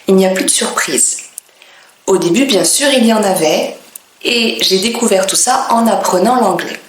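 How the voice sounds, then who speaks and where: A woman from France, a French teacher, speaking in a clear, deliberate way with pauses between phrases. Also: medium pitch, roomy sound, slight background noise, poor audio. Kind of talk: slow, careful teaching monologue.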